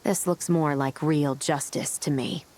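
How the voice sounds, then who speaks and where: An actress playing a firm, fair-minded police officer in a video game, speaking with measured, frank authority. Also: female voice, deep voice, steady tone, darkish tone. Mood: firm, consoling.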